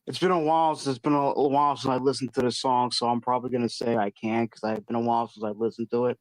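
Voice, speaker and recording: A man's voice: medium pitch, flat delivery, fast, dry recording, poor audio. A man chatting as a guest on a live show, easygoing and a bit hesitant. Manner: easygoing, hesitant, with fillers and restarts. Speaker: guest caller. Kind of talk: casual chat.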